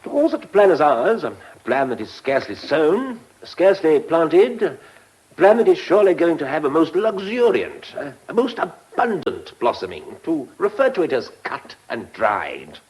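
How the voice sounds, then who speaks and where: A man's voice: a little high, very animated, normal speed, darkish tone, breathy edge, poor audio. An actor in a British radio drama playing a pompous, scheming official who savours his words with hesitations. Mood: pompous relish.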